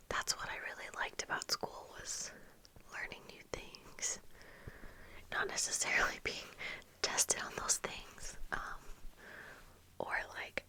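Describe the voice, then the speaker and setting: A young adult woman whispering softly and breathily into a close microphone in casual, rambling, unhurried ASMR chat. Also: low-quality recording. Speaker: ASMR video creator. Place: small room at home with bookshelves. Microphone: close desk microphone with fluffy windscreen.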